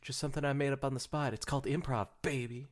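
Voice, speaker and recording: A man's voice: medium pitch, steady tone, quick pace. A young man co-hosting a podcast with casual, joking, teasing banter. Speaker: podcast co-host.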